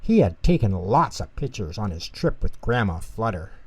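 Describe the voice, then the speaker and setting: A man narrating a children's bedtime story in an expressive, unhurried way. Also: lowish voice, husky.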